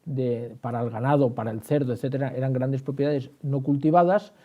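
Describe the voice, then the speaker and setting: A man's voice: mid-range voice, steady tone, fairly quick. A man explaining as an interview guest, repeating words and answering his own questions. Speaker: historian and writer, interview guest. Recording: dull, band-limited sound.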